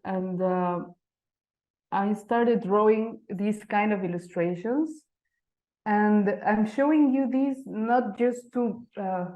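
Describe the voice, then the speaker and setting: A woman in her thirties with a Mexican accent presents to an audience in English, her second language. She talks in a mid-range voice, pausing on "uh" and restarting sentences as she looks for words. The recording sounds a little dull and lo-fi. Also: medium pitch. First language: Spanish. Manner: hesitant, frequent fillers and restarts, non-native phrasing. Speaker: type designer giving a talk. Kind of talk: conference presentation. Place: lecture venue.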